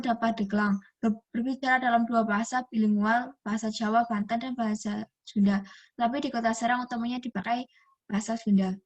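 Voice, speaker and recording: A young woman from Indonesia, a university student reading a class presentation aloud from a text and often restarting words. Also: fairly high pitch. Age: young adult.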